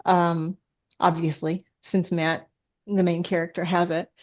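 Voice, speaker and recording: A woman's voice: on the low side, little pitch movement, a little slow, a little mellow, highs cut off. A woman author answering questions casually as a guest on a podcast.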